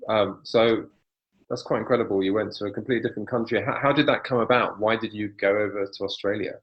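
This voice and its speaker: A man's voice: lowish voice, steady tone, fast. A man hosting an interview, asking questions in an interested, encouraging way. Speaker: interviewer, host. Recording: dull lo-fi audio.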